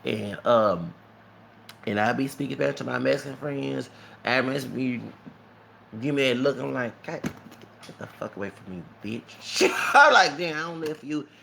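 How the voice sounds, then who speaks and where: A man's voice: medium pitch, unhurried, vocal fry, pushed and bright, slight background noise. A man telling stories in a casual, rambling vlog monologue, often repeating words, tagging lines with "okay?" and slipping into Spanish. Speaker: vlogger.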